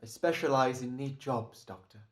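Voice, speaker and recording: A man's voice: mid-range voice, normal speed, natural voice. A man playing a smug, careful hired killer, speaking with hesitant, mannered politeness in a radio drama. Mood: quietly smug. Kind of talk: old-time radio drama acting.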